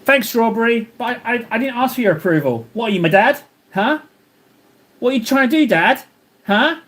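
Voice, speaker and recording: A man's voice: very high voice, animated, normal speed, a bit bright. A man live-streaming while he paints, rambling and joking as he banters with his chat.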